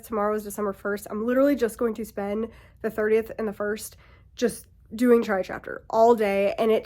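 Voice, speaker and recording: Booktuber woman, 30s, stuffy and congested, casual and chatty with frequent laughs, slightly high register. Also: darkish tone. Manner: chatty, rambling, laughs often. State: congested, feeling sick. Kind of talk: casual chat to camera. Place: home, sorting bookshelves indoors.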